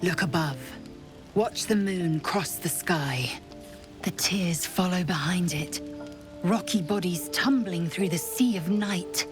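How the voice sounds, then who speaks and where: A woman's voice: on the low side, slow, music in the background. An actress voicing a proud, harsh female githyanki warrior in a video game, curt and commanding. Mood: scornful. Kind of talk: video game cutscene dialogue.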